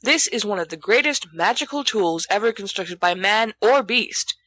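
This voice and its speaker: Woman as imperious schoolboy, clipped, teasing, bright and forceful, close dry sound, storybook character. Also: medium pitch, expressive, normal speed, no echo, voice pushed up. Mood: commanding. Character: imperious, cocky, flippant teenage schoolboy.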